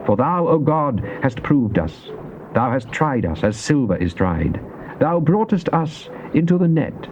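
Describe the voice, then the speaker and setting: A man's voice: mid-range voice, mellow. A man giving a solemn, theatrical, declamatory dramatized reading of scripture. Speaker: Bible reader. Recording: dull, lo-fi cassette with faint noise.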